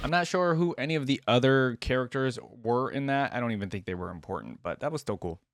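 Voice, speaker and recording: A man's voice: medium pitch, lively intonation, quick pace, soft background music. A man giving casual reaction commentary as host of a video.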